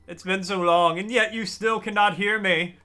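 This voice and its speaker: A man live-streaming a game, chatting casually with his viewers in a deliberately raised, customer-service-style register. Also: high voice, steady tone, bright, forceful tone, smooth. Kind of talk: casual live-stream chat while gaming.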